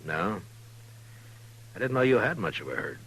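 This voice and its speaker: Very deep-voiced stern marshal. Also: male voice, moderate pace, breathy edge, heavily acted, low-quality recording. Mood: cool, guarded. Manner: terse, firm, deadpan. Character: stern, steady frontier marshal, unhurried and dry. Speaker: actor in a radio western. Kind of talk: 1950s radio drama acting.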